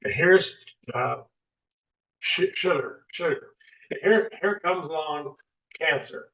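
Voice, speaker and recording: An older man in casual chat as a podcast guest, halting, often stumbling and repeating his words. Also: on the high side, pushed and bright. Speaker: former baseball player, podcast guest. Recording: distant, lo-fi mic sound.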